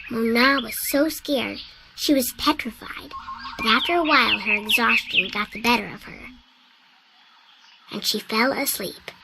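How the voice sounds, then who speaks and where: A woman voicing a small winged girl who earnestly tells a children's tale, in video game dialogue, with loud noise behind. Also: on the high side, measured pace, vocal fry. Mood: storytelling. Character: small winged girl, sweet and eager storyteller.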